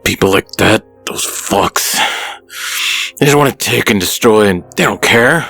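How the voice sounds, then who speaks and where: A world-weary, scornful adventurer, as a man acts him drags out each word in a low, gravelly voice. He sighs his contempt rather than shouting it. Faint music plays under this fantasy audio drama. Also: lively intonation, measured pace, full character voice. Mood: bitter.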